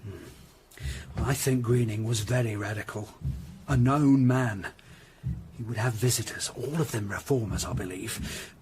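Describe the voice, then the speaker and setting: A man's voice: medium pitch, unhurried, darkish tone, slightly rough. An actor playing an anxious, hesitant Tudor master tradesman giving reluctant, deferential witness in a period radio drama. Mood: guarded, uneasy. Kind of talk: period audio drama acting.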